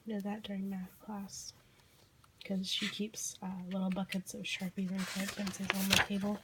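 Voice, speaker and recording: A teenage girl with a hoarse, croaky voice from being sick, casually and apologetically flipping through her sketchbook on camera. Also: mid-range voice, completely flat delivery, moderate pace, very noisy. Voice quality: croaky, losing voice. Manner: apologetic, rambling with fillers and small laughs. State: hoarse from illness. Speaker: vlogger. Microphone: handheld camera mic.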